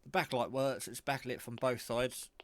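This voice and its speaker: A man giving a casual, off-the-cuff product demo, rambling in a low-key way as he thinks aloud. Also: steady tone, normal speed, a little hiss or hum. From England. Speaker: hobbyist reviewer.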